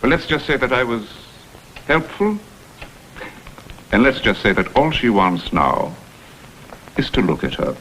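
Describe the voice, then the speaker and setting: An actor playing a smooth, insinuating blackmailer who poses as a humble go-between, with florid, theatrical phrasing, in a vintage crime drama. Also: male voice, medium pitch, sing-song, measured pace, pauses often, a bit bright, poor audio. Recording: vintage TV soundtrack, faint noise.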